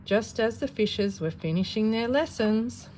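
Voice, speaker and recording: Expressive woman reading a bedtime story, noisy recording. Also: on the high side, unhurried. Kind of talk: expressive children's storybook read-aloud.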